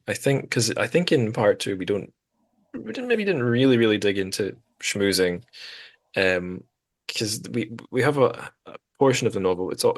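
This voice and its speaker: A man with a notably deep voice hosts a literary podcast. He talks casually and at length, wandering through his points with a self-deprecating touch. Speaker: podcast host.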